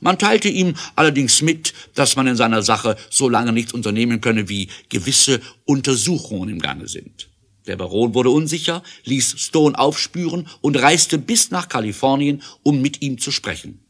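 A man's voice: medium pitch, lively intonation, normal speed, a bit bright. A man narrating a 1970s German adventure audio drama as a matter-of-fact storyteller.

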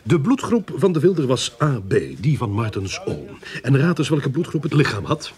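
A man's voice: mid-range voice, sing-song, darkish tone, close to an everyday voice. An actor playing a smug, sarcastic police inspector in a radio play, mocking and condescending as he speaks. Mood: gloating. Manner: mocking, condescending, deliberate taunting. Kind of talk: Dutch-language radio play acting.

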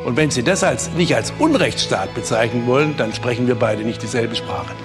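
A man's voice: medium pitch, animated, moderate pace, close to an everyday voice. Actor playing insistent radio interviewer guy, pressing the guest, music playing behind.

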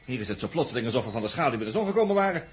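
A man's voice: mid-range voice, very animated, very fast, lightly acted. An actor playing a flippant, wisecracking space crewman with jokey, colloquial delivery in a 1960s Dutch radio drama. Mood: sardonic. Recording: old noisy 1960s radio recording.

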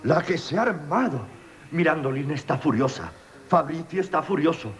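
An actor playing an agitated, deferential manservant in a radio play, theatrical and urgent. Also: male voice, on the high side, animated, moderate pace, soft background music, natural voice. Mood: alarmed. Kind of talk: Spanish radio theatre acting.

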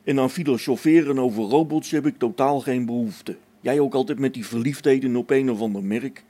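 Mellow, practical space technician, played by a man. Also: medium pitch, fairly quick, lightly acted. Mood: irritated. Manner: down-to-earth, brusque, colloquial. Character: practical, matter-of-fact male space-station technician, mildly irritable. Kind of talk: Dutch radio play acting.

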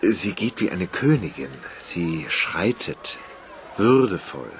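A shy boyish guy in love, soft dreamy talk that swings way up and down in pitch, slow, some hiss behind him. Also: very animated, full character voice. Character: shy, childlike young man in love. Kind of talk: radio drama acting.

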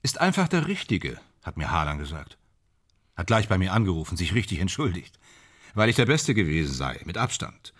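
A man's voice: low-pitched, very animated, brisk, fairly bright tone, exaggerated voice. A man playing a boastful, ambitious actor in a German radio drama, speaking in theatrical, self-dramatizing fragments. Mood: subdued, wistful. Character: boastful, ambitious male stage actor dreaming of Hollywood stardom.

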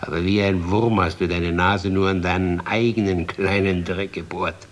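A man's voice: low voice, steady tone, normal speed, lightly acted. A man playing a sly, taunting minstrel in a German radio drama, telling tales poetically and with mocking questions. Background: plucked guitar-like string music.

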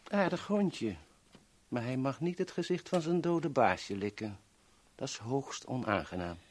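A cold-blooded but courteous gunman from a 1970s radio drama. His voice is rough and husky, with lively intonation. He speaks formally and deliberately, drily polite. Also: medium pitch. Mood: dry satisfaction. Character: courteous, cold-blooded male gunman, dryly matter-of-fact.

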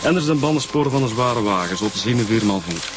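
An actor with a Flemish accent playing a matter-of-fact homicide detective in a naturalistic radio drama, reporting with small hesitations. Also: male voice, medium pitch, lively intonation, fast talker, noisy background, close to an everyday voice. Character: male homicide detective, matter-of-fact, briefing his colleagues. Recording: music behind the voice.

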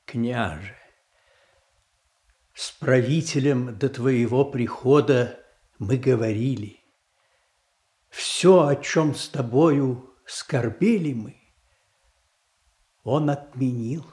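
An actor playing a grave, peacemaking church leader in a radio play, with solemn, measured delivery. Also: male voice, mid-range voice, darkish tone, close to an everyday voice. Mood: placating. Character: grave, peacemaking church primate counselling princes. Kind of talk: theatrical verse acting in a radio play.

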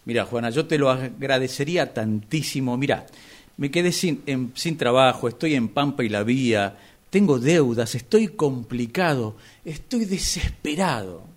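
A man's voice: medium pitch, animated, normal speed, close to an everyday voice. An Argentine man acting in a radio drama as a humbled former boss, hesitant and pleading for work. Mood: desperate pleading. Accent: Argentine, Rioplatense. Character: humbled older former boss begging for a job.

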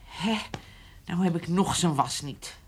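A woman's voice: on the low side, takes it slowly, slight background noise. An actress playing an older, plain-spoken, grumbling farm mother and grandmother in a radio play. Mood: weary, grumbling. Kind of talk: radio drama acting.

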